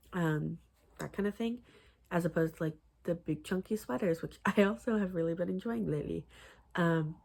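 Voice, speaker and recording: A young woman chatting casually about her crochet projects, rambling with many fillers and restarts, her voice a little husky. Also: deep voice, darkish tone. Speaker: crochet vlogger. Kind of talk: casual chat.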